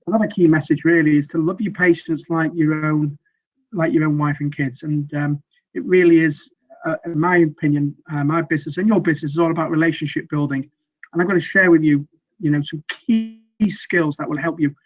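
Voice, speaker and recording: Slightly croaky middle-aged male coach. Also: fairly high pitch, dull audio. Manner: earnest, loose, full of fillers and restarts. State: slightly croaky from weeks of teaching. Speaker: sales communication coach. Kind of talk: presentation with slides.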